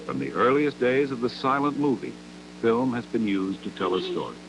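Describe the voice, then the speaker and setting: A man narrates a scripted 1960s educational film in a measured, didactic manner, with deliberate phrasing, on an old 16mm soundtrack. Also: medium pitch, lively intonation, low-quality recording.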